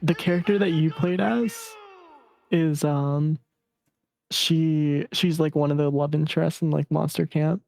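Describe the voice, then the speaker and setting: A woman's voice: sits low, steady tone, measured pace, creaky, a little mellow, dry recording. A woman streamer chatting casually and reading game text aloud on a livestream.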